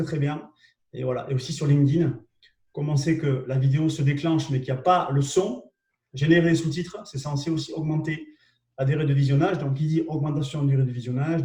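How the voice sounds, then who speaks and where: A man with a southwest French accent walks through tools in a live online session, hesitant and repetitive, often asking whether people are following. His voice sits fairly high but sounds mellow and soft-edged. He is caught by a mic set back from him in an echoey room. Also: distant mic. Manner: hesitant and repetitive, checks in with listeners. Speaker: co-host presenting marketing tools. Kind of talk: live webinar explanation.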